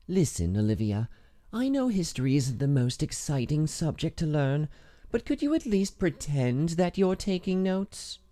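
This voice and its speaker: A man's voice: fairly high pitch, sing-song, moderate pace, warm tone, voice pushed up. A man voicing a stern, sardonic female schoolteacher in a visual novel, with a drawling, chiding manner. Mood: weary scolding.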